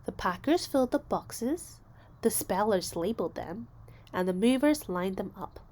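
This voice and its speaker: A woman narrating a children's story aloud, expressively, with deliberate phrasing and dramatic emphasis. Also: mid-range voice, expressive, darkish tone. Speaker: storybook reader.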